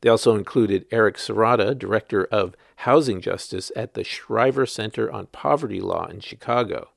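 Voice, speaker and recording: A man's voice: fairly low pitch, normal speed, vocal fry, no echo, clean studio sound. A man working as a journalist, reading his written article aloud as scripted narration.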